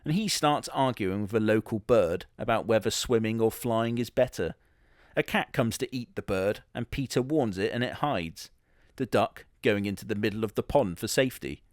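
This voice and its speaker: A man narrates a comic story with sardonic, crude asides and casual swearing. His voice sits a little low and the recording is dry and close, with no room sound. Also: moderate pace, no room sound. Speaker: comedy narrator in character.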